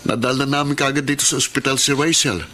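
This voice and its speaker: A man's voice: medium pitch, fairly even intonation, fast talker, pushed and bright, natural voice. Man acting a stern, worried young Filipino father. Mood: angry, reproachful. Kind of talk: Filipino radio drama acting. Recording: slightly noisy radio drama audio.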